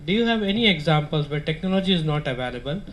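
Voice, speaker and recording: A man in a conference audience asking a deliberate question. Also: a little high, lively intonation, fairly bright tone, a little hiss or hum. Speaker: audience member.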